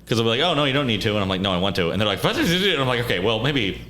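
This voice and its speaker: A man co-hosting a podcast in casual chat, talking quickly with stammered restarts. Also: medium pitch, slight background noise. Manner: rapid, animated, stammering restarts. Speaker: podcast co-host.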